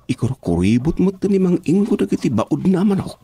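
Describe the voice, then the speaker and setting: A man playing a jumpy, excitable comic sidekick in a radio comedy. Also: high voice, fast, slight background noise. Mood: sneaky, jumpy. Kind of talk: broad radio comedy acting.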